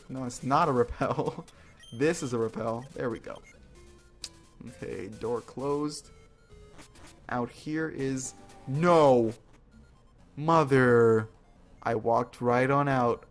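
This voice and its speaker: Jokey man commentating a game over music. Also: medium pitch. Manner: jokey, self-deprecating, informal with mild swearing. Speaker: gaming video host. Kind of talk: casual gameplay commentary. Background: video game music.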